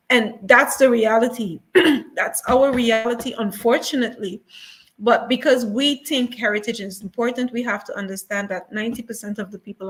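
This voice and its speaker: A woman's voice: fairly high pitch, low-quality recording. Earnest woman repeating points for emphasis. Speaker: museum guest. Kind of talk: casual talk show discussion.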